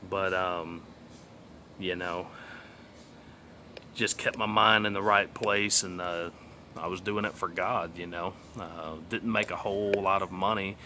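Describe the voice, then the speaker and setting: Texan man, late thirties, low-set voice; loose, filler-heavy personal testimony told outdoors. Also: fairly even intonation, a little slow, pauses often, bright, forceful tone, a little hiss or hum. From Texas. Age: 30s. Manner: rambling, plainspoken, many fillers and trailing asides. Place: outdoors on a sunny day.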